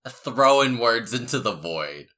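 A man hosts a podcast in an easygoing, joking way, his throat scratchy this early in the day. He talks slowly and leisurely, and his pitch swings widely. The tone is bright. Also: very animated. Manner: laid-back, joking, giggly. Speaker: podcast host. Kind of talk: casual podcast chat.

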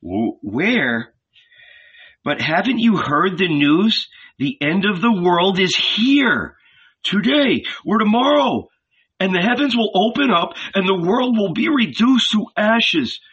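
A man doing an excited, urgent shepherd disciple. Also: high voice, slow pace, husky, voice pushed up, full character voice. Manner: urgent, pleading, rising excitement. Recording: dull lo-fi recording.

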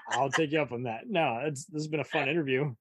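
A man's voice: medium pitch, fast, slightly rough, no echo. A man hosting a casual interview, talking informally with rambling questions. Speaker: interviewer, host.

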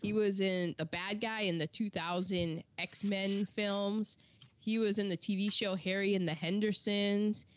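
A woman's voice: medium pitch, steady tone, a little slow, dull audio. A woman co-hosting a podcast in casual chat, running through film credits with asides and false starts. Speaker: podcast co-host.

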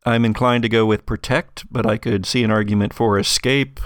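A man working as game master, narrating a tabletop story in a halting, conversational, improvised way. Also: on the low side, steady tone, smooth, no room sound, clean studio sound.

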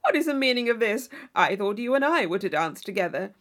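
A woman voicing a brash, boastful young gentleman of Regency England in an audiobook, blustering through exclamations and mild oaths. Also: on the high side, big pitch swings, fairly quick. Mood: indignant. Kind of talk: audiobook character voice.

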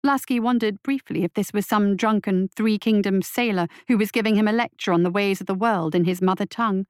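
A woman's voice: medium pitch, a little fast, clear, resonant tone, no room sound, clean studio sound. A woman reading a scripted fiction story aloud as its narrator.

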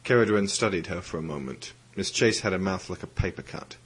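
A man's voice: deep voice, lively intonation, fairly quick, a bit bright, slightly rough, poor audio. A man reading scripted narration as the narrator of an audio drama. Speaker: narrator and project creator. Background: music.

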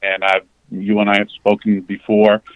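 A man in his forties from the Dominican Republic, a guest talking haltingly with many fillers and restarts. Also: lowish voice, monotone, dry recording. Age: 40s. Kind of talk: candid conversational interview. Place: parked outside a sports venue. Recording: dull lo-fi remote call.

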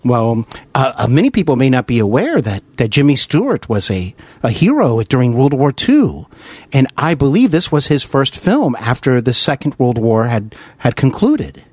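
An American man hosts a conversational interview with earnest admiration. His pitch sits mid-range and moves up and down freely, and the sound is close and dry. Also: big pitch swings, normal speed, darkish tone, husky, no room sound. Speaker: interview host. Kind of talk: conversational radio-style interview.

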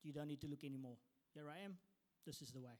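A man's voice: fairly high pitch, fast talker, a little mellow. A man giving conversational answers on a panel in an assured, plain-spoken way.

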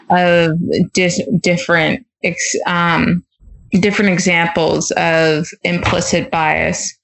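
A woman's voice: fairly low pitch, a bit bright, poor audio. A woman in her twenties, a podcast guest, talking in a hesitant way with many fillers as she searches for words. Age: 20s. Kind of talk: casual conversation.

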